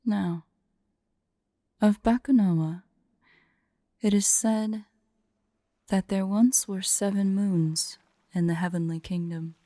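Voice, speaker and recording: A woman voicing a haughty, vain, teasing female dragon who is secretly fond, in an intimate, breathy, imperious ASMR roleplay style. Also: medium pitch, warm tone, no echo, close to an everyday voice. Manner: slow, imperious, playful, breathy near-whispers at times.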